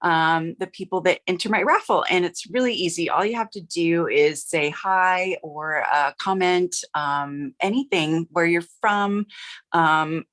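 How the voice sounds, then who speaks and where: A middle-aged woman with a low, slightly rough voice chats through a live craft stream. She is upbeat and casual and peppers her talk with fillers. Her tone stays friendly and unhurried. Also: a bit bright. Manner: chatty, upbeat, many filler "uh"s. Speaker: host of a live card-making stream. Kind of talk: casual livestream chat.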